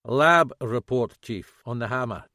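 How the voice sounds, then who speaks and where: A man's voice: medium pitch, measured pace, sharp tone, no echo, studio quality. A man voicing an eager young male sergeant.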